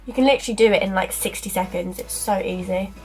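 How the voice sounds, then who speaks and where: A woman's voice: mid-range voice, quick pace, darkish tone, faint music behind, poor audio. Chatty young woman with a cold. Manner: chatty, filler-heavy, talks while applying makeup. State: stuffy from a cold. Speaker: beauty vlogger. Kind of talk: casual makeup tutorial. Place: small bedroom dressing area indoors. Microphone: camera in front at arm's length.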